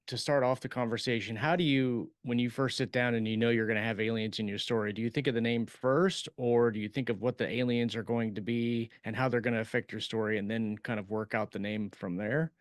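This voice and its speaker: A man's voice: medium pitch, fairly even intonation, a little fast, few pauses, no echo. Man hosting, dry close sound. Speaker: podcast host and science fiction author. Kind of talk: casual, loose conversational hosting.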